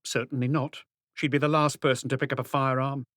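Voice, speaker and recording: A man's voice: medium pitch, level tone, fairly quick, a bit bright, dry recording, clean studio sound, pitched above the performer's own voice, natural voice. A man voicing a tough, distrustful middle-aged woman with curt, scornful retorts in an audiobook. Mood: defiant. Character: stony-faced, tough, distrustful middle-aged French woman, defiant and defensive. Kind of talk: audiobook character dialogue.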